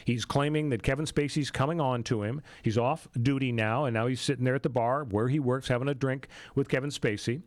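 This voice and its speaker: A man's voice: mid-range voice, fairly even intonation, a little fast, hardly any pauses, no echo, studio quality. A man with an American accent hosting a talk radio show in a casual, chatty style.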